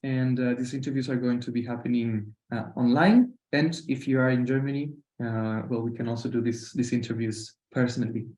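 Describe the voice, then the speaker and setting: A man with a Costa Rican Spanish accent speaks in a mellow, relaxed voice through roomy webinar audio. He presents informally as a university representative, often hesitating and repeating his words. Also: medium pitch, fairly quick, few pauses. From Costa Rica.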